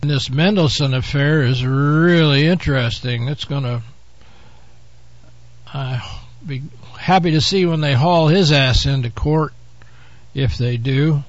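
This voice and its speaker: Man, show host, hoarse from a cold, rambling and offhand, fairly high voice, dry muffled sound. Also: measured pace, no echo. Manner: rambling, with frequent "uh" and offhand asides. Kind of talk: casual talk-show monologue.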